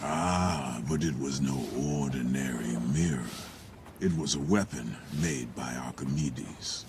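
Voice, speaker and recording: Slow, extremely deep old storyteller. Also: male voice. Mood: solemn reminiscence. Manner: measured, grave. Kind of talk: dramatic game narration. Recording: noisy ambience behind voice.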